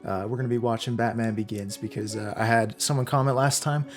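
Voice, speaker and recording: A hoarse young man in his twenties hosting a casual film reaction, chatty and excitable, with many fillers. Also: mid-range voice, brisk, soft background music. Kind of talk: casual movie reaction commentary.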